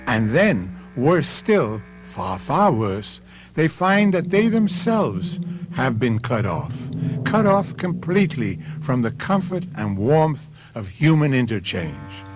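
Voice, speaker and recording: A man hosting and narrating a radio mystery in a suspenseful, deliberate, ominous and theatrical way. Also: mid-range voice, very animated, noisy background. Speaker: host and narrator. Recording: vintage radio broadcast with music. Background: prominent music.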